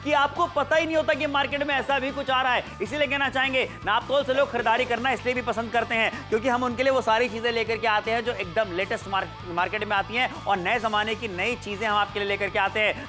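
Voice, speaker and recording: High-voiced fast-talking male TV shopping host. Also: steady tone. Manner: persuasive, insistent calls to order. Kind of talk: teleshopping sales pitch. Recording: studio with background music.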